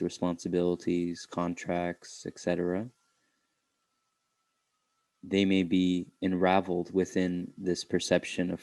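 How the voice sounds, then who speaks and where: Man, very flat intonation, low voice, reflective meditation guide, halting phrases, dry close sound. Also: dry recording.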